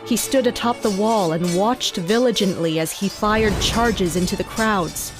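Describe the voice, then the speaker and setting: A woman's voice: mid-range voice, a little slow, natural voice. A woman playing a tense, commanding castle fighter in a first-person dramatic narration. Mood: tense, watchful. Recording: music behind the voice. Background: music.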